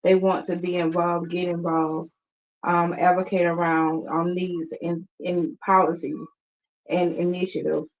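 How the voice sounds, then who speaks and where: Lady guest, lots of ums, low and flat voice, kinda muffled audio. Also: a little slow. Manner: hesitant, many ums, plain and sincere. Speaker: guest, community outreach worker. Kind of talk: interview talk.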